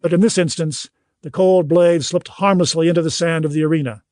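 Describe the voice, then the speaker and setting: A man reading scripted audiobook narration in a measured, literary storytelling manner. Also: on the high side, steady tone, darkish tone, dry recording, clean studio sound.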